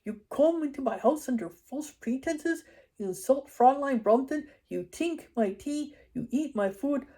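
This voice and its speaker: A woman's voice: sits high, expressive, a little slow, dark tone, pitched above the performer's own voice. A woman voicing a fat, angry middle-aged German male vet with a thick, corny German accent. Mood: outraged. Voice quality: thick, sputtering voice.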